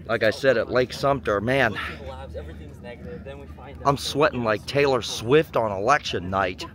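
A man's voice: mid-range voice, unhurried, a bit bright. A man talking casually to his viewers while filming outdoors on a hot mountaintop, with a folksy, chatty manner. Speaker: vlogger. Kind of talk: casual travel vlog to camera. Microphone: handheld phone or camera mic.

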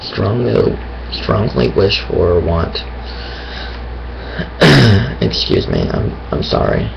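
Hoarse, unhurried man with a creaky voice, halting and self-correcting. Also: deep voice, sing-song, lo-fi sound, background noise. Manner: hesitant, thinking aloud with many pauses and restarts. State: raspy voice. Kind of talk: casual monologue on faith.

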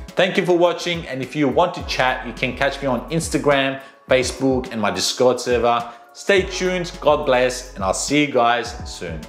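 Man in his thirties, scripted host narration, mid-pitched voice, music underneath. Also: roomy sound. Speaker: home theater channel host. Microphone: lapel mic. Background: background music.

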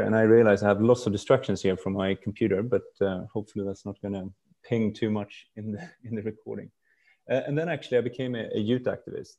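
Low-voiced man, reflective podcast guest. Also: dark tone. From Stockholm, Sweden. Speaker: podcast guest, brand executive. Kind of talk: casual interview talk.

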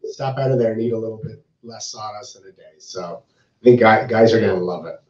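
Enthusiastic lower-voiced man in an echoey office. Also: fast, a little mellow. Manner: enthusiastic, hands-on, repeats himself. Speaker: sawmill company staff. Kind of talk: video call interview. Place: office room, seated with a colleague. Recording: roomy, dull video call audio.

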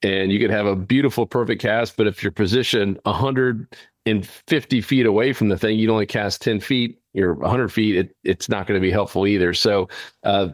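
A man's voice: fairly low pitch, steady tone, normal speed, dry recording. A man hosting a podcast, talking casually and explaining his points with emphasis. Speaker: podcast host. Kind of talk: casual podcast talk about fishing.

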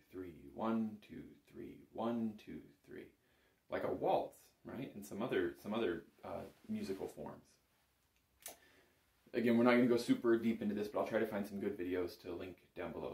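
A man's voice: fairly low pitch, darkish tone. A middle-aged man giving an informal, explanatory music lesson to camera, recorded on a phone in a room. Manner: explanatory, often checking in with "right?". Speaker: bagpipe instructor. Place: small indoor music room with instruments on the walls. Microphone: phone camera a short distance away. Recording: roomy echo, phone recording.

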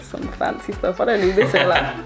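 A woman's voice: medium pitch, fairly quick. A woman in her twenties in casual chat, playful and giggly. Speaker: participant in a DNA test video. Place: curtained room, indoors, at a table. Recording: music behind the voice.

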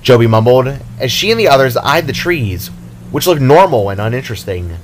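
A man's voice: medium pitch, sing-song, fairly quick, a little hiss or hum, rough recording. A man reading his fantasy story aloud as a dramatic scripted narration. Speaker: author narrating own story.

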